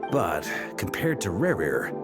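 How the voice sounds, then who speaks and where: An actor playing a hearty, seasoned knight commander with a confident, commanding manner in a video game. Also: male voice, low-pitched, wildly swooping pitch, measured pace, music in the background, exaggerated voice. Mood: measured, strategic.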